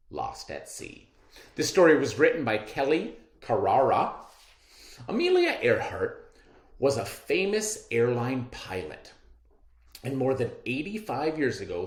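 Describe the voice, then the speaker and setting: A man leads a children's reading lesson, with a pitch that leaps up and down as he explains and reads aloud. He speaks in an encouraging way, at an unhurried pace. The room has a noticeable echo. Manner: animated, encouraging teacher talk to children. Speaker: teacher. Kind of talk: classroom read-aloud lesson.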